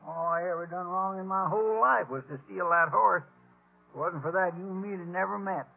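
An actor playing a whiny, folksy, eager-to-please outlaw sidekick with a rustic drawl in a 1950s radio western. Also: male voice, sits high, brisk, gravelly. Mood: sheepish, pleading. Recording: muffled old 1950s radio recording, music.

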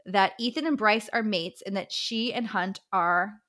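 Woman, podcast co-host, bright forward voice, casual chat, playful, enthusiastic. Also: medium pitch, measured pace. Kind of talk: casual chat and reading out listener answers.

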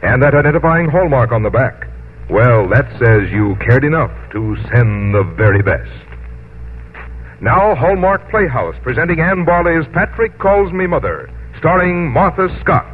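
A man's voice: a little high, animated, unhurried, a little hiss or hum. An American man doing polished, scripted 1950s radio announcing. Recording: narrow-band 1950s radio, faint music.

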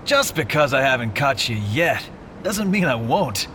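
A pushy, righteous street detective in a sci-fi radio play. His voice is sharp and very animated, always needling and challenging. A faint rumble of traffic runs behind him. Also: a little slow. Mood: needling, suspicious. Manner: confrontational, needling, self-righteous. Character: pushy, zealous male street cop, suspicious detective. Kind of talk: audio drama acting.

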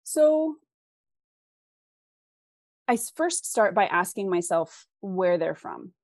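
A woman's voice: mid-range voice, sing-song, takes it slowly, a little mellow, dry recording, clean studio sound. A woman with a West Coast American accent, explaining her ideas thoughtfully and articulately as an interview guest, often posing questions as she goes. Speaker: guest author.

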